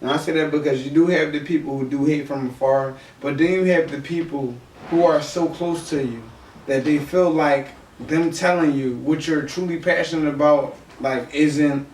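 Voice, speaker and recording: A man's voice: a little high, steady tone, normal speed, a little mellow. A young man chatting casually as a podcast co-host, slangy, often checking that he is understood. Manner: slangy, repeats "you know what I mean". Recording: echoey room, distant mic, faint noise.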